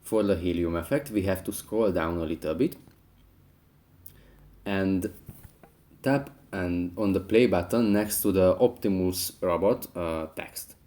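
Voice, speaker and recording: Low, mellow male tutorial host. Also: a little slow. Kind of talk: scripted app tutorial narration.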